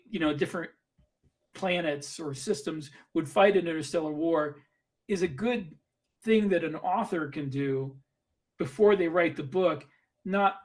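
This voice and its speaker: Man, slightly high voice, casual podcast chat, explaining stuff like advice. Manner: explanatory, giving advice to writers. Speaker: podcast host and author.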